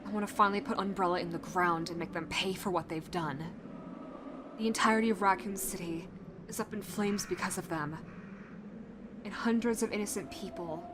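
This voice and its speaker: A woman playing a determined, protective young fighter in a zombie outbreak audio roleplay, speaking earnestly and haltingly to the listener. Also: fairly low pitch, background noise, natural voice. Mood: regretful, bitter.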